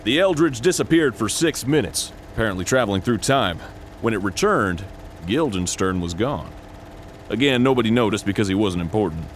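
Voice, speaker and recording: An actor playing an earnest, dim-witted military commander in a comic video game, briefing with solemn seriousness. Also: male voice, on the low side, animated, moderate pace, slight background noise, natural voice. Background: game music.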